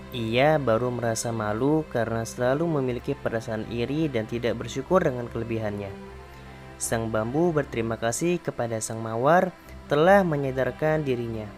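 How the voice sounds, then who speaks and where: A male primary school teacher reading a children's fable aloud in a calm, clear voice for a remote class. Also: medium pitch. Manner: calm, clear storybook narration.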